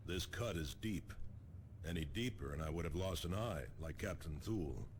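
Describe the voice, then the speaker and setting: An actor playing a stern, battle-hardened force commander in a video game, wary and terse. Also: male voice, sits low, lively intonation, moderate pace, a little hiss or hum. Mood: reflective.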